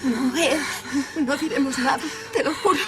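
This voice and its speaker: An actress with a Castilian Spanish accent playing an outgoing, trusting young hitchhiker in a radio drama. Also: female voice, sits high, moderate pace, natural voice. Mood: pleading. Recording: music bed under the voice.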